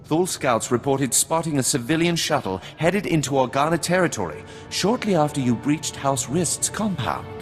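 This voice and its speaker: A man's voice: on the high side, expressive, moderate pace, hardly any pauses, close to an everyday voice. A man playing an imperious, scheming noble baron in a sci-fi game, speaking formally. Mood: resolute. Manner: formal, aristocratic phrasing. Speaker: video game voice actor. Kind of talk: dramatic game cutscene dialogue. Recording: long hall reverb, faint music behind.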